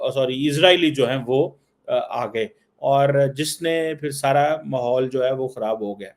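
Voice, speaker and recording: A man with a Pakistani accent giving unscripted political commentary, halting and repeating himself, with English phrases mixed in. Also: on the high side, a little mellow. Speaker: news commentator.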